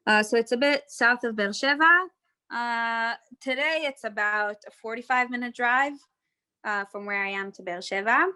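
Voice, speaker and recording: A young woman with an American accent talks over a video call, her voice pitched a bit high and very bright. She chats loosely as she answers questions, full of "um"s, "like"s and restarts. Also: moderate pace, clear, resonant tone. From Israel. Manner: chatty, hesitant, full of fillers and restarts. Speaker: tour guide. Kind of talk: live virtual tour with audience questions.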